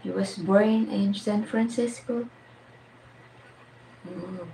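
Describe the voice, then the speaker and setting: Filipina lady on a phone livestream, slow easygoing talk, lots of laughing, faint room noise. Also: medium pitch, fairly even intonation, mellow, rough recording. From Philippines. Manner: laughs often, reads and greets viewer names. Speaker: live stream host. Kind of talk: casual live stream chat with viewers.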